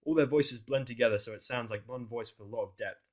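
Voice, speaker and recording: A man's voice: medium pitch, fast. A man narrating a first-person fan fiction audio drama in a sardonic, exasperated way.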